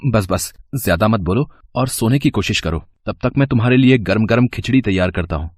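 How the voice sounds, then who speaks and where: A man voicing a kind, caring young college student in an animated moral story, earnest and gentle. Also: fairly low pitch, fairly quick, no echo, clean studio sound.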